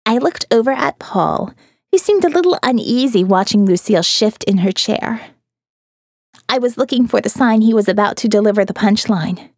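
A woman narrating an audio novel in the first person, dramatic, expressive and wry. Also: a little high, normal speed, dry recording, studio quality.

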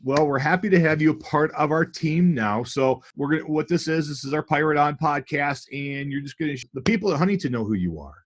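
A man's voice: on the high side, expressive, a little fast, few pauses. Upbeat man hosting a school podcast. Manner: upbeat, enthusiastic greeter, loose rambling questions. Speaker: school podcast host, former principal. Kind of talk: casual podcast interview.

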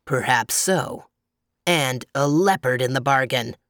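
A woman voicing a cruel, menacing grizzly bear in a storybook, with a deep, growly voice. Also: takes it slowly, a bit bright, dry recording, clean studio sound, heavily acted. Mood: matter-of-fact menace. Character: menacing, cruel grizzly bear, matter-of-fact about appetite.

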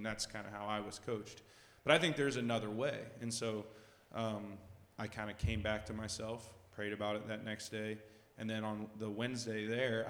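A man's voice: lowish voice, a bit bright. Earnest man in a roomy hall. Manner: earnest, reflective. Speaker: basketball coach sharing from the group. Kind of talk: personal testimony. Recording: roomy hall with long reverb.

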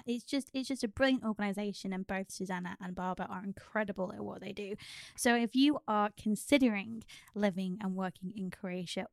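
A woman's voice: medium pitch, gravelly, no echo, studio quality. A woman co-hosting a podcast, chatting casually and enthusiastically. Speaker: podcast co-host.

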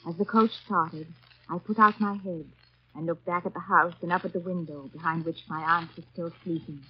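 An actress playing a grave, reserved young woman, narrating her life in measured 1930s radio drama style. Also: lowish voice, fairly even intonation, a little hiss or hum, lightly acted. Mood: measured, reflective. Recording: muffled vintage 1930s radio recording. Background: music.

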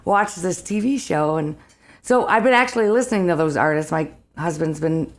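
A woman singer with a raspy voice, chatting upbeat and laughing easily as a guest on a talk show. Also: lowish voice, expressive, moderate pace. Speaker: singer and band leader, guest. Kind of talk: casual interview chat.

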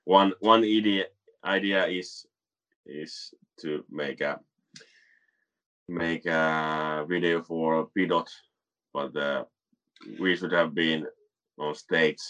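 A man's voice: very deep voice, fairly even intonation, takes it slowly, pauses often, bright, forceful tone. A man with a Finnish accent, a band member guesting on a podcast, speaking hesitant English in short bursts. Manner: hesitant, few words. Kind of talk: casual interview chat.

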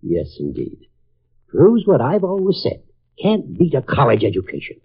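Sententious American dean, self-deceiving, animated delivery, tinny 1950s radio drama sound. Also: male voice, mid-range voice, normal speed, breathy edge, exaggerated voice. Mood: self-satisfied. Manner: pompous, sententious. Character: pompous, self-deceiving college dean, smugly platitudinous. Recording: tinny vintage radio recording.

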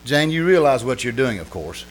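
A man's voice: medium pitch, big pitch swings, moderate pace, slight background noise, close to an everyday voice. A man playing an anxious, mild-mannered husband in an old-time radio comedy, hesitant, stammering and earnest.

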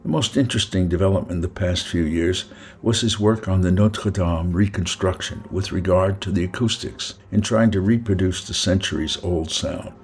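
A man's voice: low-pitched, moderate pace. A man hosting an interview podcast, speaking as presenter and interviewer. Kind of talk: scripted introduction, then unhurried interview talk.

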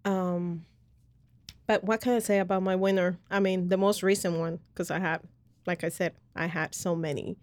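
A woman's voice: on the low side, fairly even intonation, moderate pace, no echo. A woman from Colombia, hosting a podcast and sharing her faith story in a rambling, earnest way with lots of fillers. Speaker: podcast host. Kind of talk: personal faith testimony in casual chat.

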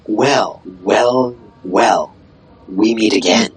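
A man voicing a mysterious otherworldly spirit in an audio drama, speaking in a deliberate, formal manner. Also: lowish voice, faint music behind. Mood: eerie, knowing. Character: mysterious otherworldly observer spirit who strikes bargains. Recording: clear noisy ambience.

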